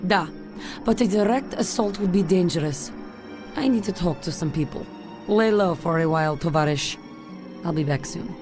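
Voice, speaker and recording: An actress playing a confident, sly Soviet secret agent in a video game, with a Russian accent. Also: female voice, lowish voice, normal speed, over music, natural voice. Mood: businesslike. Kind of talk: video game cutscene acting.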